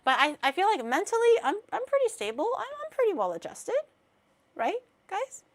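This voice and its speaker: Young woman streamer in her 20s, high voice, rambles and laughs at herself a lot. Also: wildly swooping pitch, normal speed. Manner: rambling, self-mocking, laughs often. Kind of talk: casual stream reaction chat.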